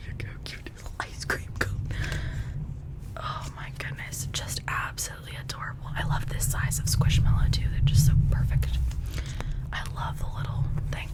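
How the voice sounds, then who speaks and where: An ASMR creator whispering an informal, rambling chat in a breathy, unvoiced voice, gushing softly over cute things. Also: normal speed.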